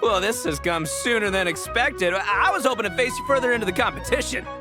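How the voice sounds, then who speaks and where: A woman voicing a composed, slightly hesitant rival contestant in a video game, with a creaky voice. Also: mid-range voice, fast, music in the background, natural voice. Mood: wry.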